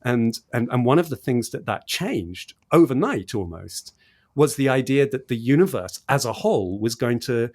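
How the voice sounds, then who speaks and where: A man's voice: medium pitch, animated, normal speed, a little mellow. Man enthusiastically explaining science. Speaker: podcast guest, cosmologist explaining research.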